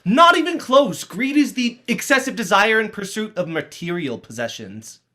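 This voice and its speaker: A man's voice: sits high, very animated, moderate pace, sharp tone, exaggerated voice. A man playing a defiant, sarcastic teenage schoolboy with a cheeky, melodramatic manner in a play reading. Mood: protesting. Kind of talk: dramatized play script reading.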